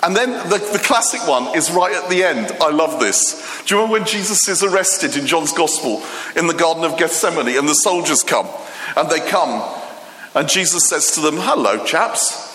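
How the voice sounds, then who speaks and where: A Greek-born man preaching a sermon, retelling stories in a lively, animated way with many restarts and fillers. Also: fairly high pitch, normal speed. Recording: echoey hall with long reverb, faint ambience.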